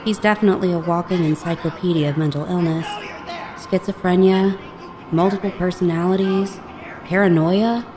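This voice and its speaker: A woman playing a calm, hopeful newcomer on a psychiatric ward, measured and sympathetic, in a horror audio drama. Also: lowish voice, lightly acted.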